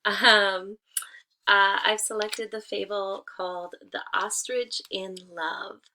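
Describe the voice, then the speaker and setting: A woman teacher giving a read-aloud lesson for children, speaking deliberately to a young audience. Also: medium pitch, steady tone, pushed and bright, a little hiss or hum, poor audio. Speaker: elementary school teacher.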